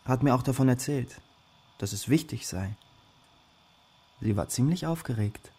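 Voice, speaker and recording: An actress playing a blunt, scornful young female flatmate, offhand and irritated, in a radio drama. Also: rumbling low, unhurried, slightly rough, exaggerated voice.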